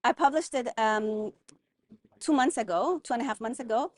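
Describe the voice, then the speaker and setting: Earnest woman, slightly high voice. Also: normal speed. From Guatemala. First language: German. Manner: thoughtful, earnest, many fillers and restarts. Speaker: guest, mining consultant. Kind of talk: conversational interview.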